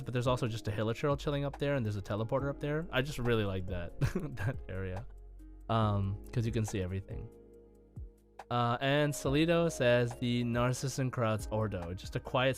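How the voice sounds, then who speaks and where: A man co-hosting a gaming podcast in casual conversation. His voice sits in a middle register, and he talks in a halting, rambling way, with faint music underneath. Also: mid-range voice. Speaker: podcast host.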